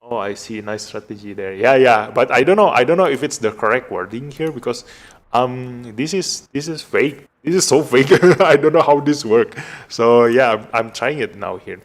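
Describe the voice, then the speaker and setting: A man from Indonesia with an Indonesian accent gives casual live gameplay commentary as a streamer, thinking aloud in a halting way. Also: medium pitch, expressive. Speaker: game streamer.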